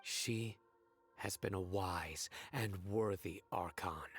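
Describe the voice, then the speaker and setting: A man playing a stern, stoic enforcer of justice in an anime game, speaking with grave, deliberate command. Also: sits low, soft background music. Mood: cold, accusing. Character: stern, righteous, stoic male judge and enforcer. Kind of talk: dramatic anime game voice acting.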